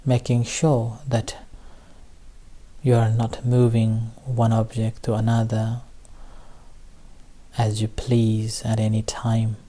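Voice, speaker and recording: A man with a soft-edged, relaxed voice guides a meditation session. He speaks very slowly, in short, halting phrases separated by long pauses. His intonation stays very flat as he gently gives instructions. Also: fairly low pitch. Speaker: Buddhist meditation teacher leading a group session.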